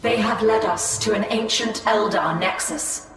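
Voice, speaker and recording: A solemn elf seer speaks with grave, formal resolve, as a woman plays her in a game cutscene. Her voice sits at a middle pitch and rings out through heavy echo as though from a distance, with faint noise underneath. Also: measured pace, a bit bright, natural voice. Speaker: game character. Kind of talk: video game cutscene acting.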